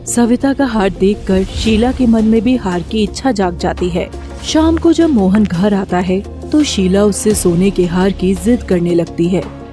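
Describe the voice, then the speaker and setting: Female storyteller for scripted narration; mellow, mid-pitched voice with light background music. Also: unhurried.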